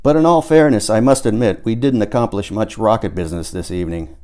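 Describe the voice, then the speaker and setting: A man's voice: lowish voice, a little fast, warm tone. A man narrating his own book as an author, in a theatrical audiobook style. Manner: acts out dialogue with emphatic swearing and outbursts.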